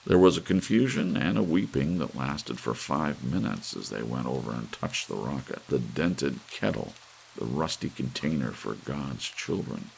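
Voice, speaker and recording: A man narrating a literary story as an ASMR audiobook reader, with soft rain behind him. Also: very deep voice, lively intonation, moderate pace, gravelly, poor audio. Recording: faint hiss behind the voice.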